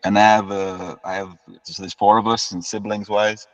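A man in his thirties speaks with a fairly low voice, easing through his story as a guest and doubling back on words as he goes. The recording sounds dull and lo-fi, with a long reverb hanging behind him. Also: moderate pace. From United Kingdom. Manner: relaxed storytelling, often repeats words as he restarts. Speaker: guest musician. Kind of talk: casual interview chat.

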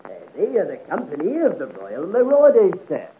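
An actor playing a nervous, obsequious coach driver in a 1950s radio serial, hesitant and grovelling. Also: male voice, sits high, huge pitch swings, brisk, husky, phone-quality sound, full character voice. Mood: nervous, placating. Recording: scratchy 1950 radio recording, thin band.

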